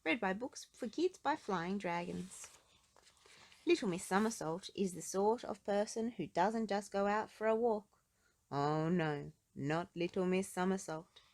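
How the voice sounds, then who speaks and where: A woman with a mid-pitched voice reads a story for young children. Her delivery is expressive, in the manner of an audiobook narrator.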